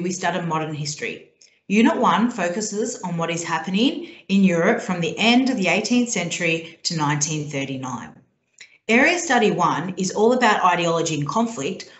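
An Australian woman teacher explains a subject to students in a clear, steady way. Her voice sits a little low for a woman and carries the echo of a bare room. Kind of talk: recorded subject talk to students. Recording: echoey room.

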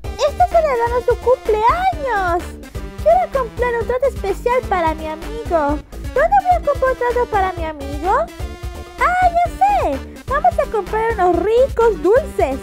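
A bubbly, bossy little girl hosts a children's cartoon in a very high, swooping sing-song. She has a Latin American Spanish accent and takes her time with the young viewers, over prominent music. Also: sing-song, a little slow, full character voice. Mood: cheerful.

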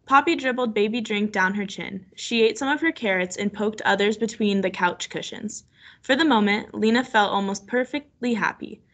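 A woman's voice: mid-range voice, normal speed, fairly bright tone. A woman teacher reading a story aloud and guiding children through an online lesson on a video call.

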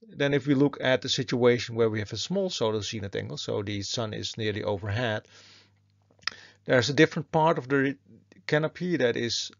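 Male academic lecturer, gravelly fry, measured explanatory delivery, dry close sound. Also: medium pitch, no echo. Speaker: university lecturer. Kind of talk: explanatory lecture over slides. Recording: slightly muffled, band-limited sound.

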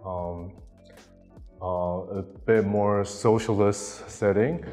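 A man's voice: lowish voice, steady tone, extremely slow, warm tone, big-room sound, soft background music. A man in his thirties from Taiwan, answering interview questions in a hesitant way with many ums and restarts, on a lapel mic in a gallery room. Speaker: interviewee artist.

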